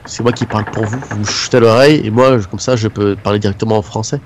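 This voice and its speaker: Fast-talking eager man player. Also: steady tone, a little hiss or hum. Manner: eager, talks fast while pitching ideas. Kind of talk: casual play at a tabletop game.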